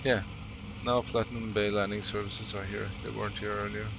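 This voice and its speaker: A man's voice: fairly low pitch, level tone, moderate pace, vocal fry, noisy background. A young Norwegian man with a Norwegian accent, chatting casually and laughing with his stream while he plays. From Norway. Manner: chatty, laughs often, talks to chat. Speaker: game streamer. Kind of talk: casual live-stream chat while playing. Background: game sounds.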